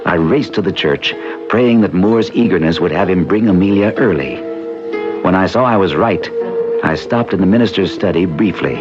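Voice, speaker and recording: An actor playing an earnest, determined man who tells his own strange story in an old-time radio drama, grave and deliberate. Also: low-pitched, highs cut off, close to an everyday voice. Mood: grave narration. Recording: band-limited old radio recording with music behind.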